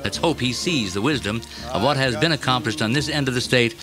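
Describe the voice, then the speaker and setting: A man delivers a scripted radio commentary in a mid-pitched voice. He is emphatic and opinionated, in the manner of a local news reporter. Soft music runs beneath the broadcast. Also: a little fast.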